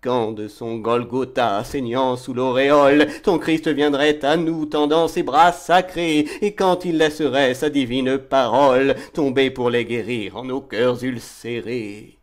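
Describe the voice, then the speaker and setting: A man reciting poetry in a dramatic, declamatory and impassioned way, swelling from hushed to forceful phrases. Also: mid-range voice, fairly even intonation, measured pace, few pauses. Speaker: poetry reader.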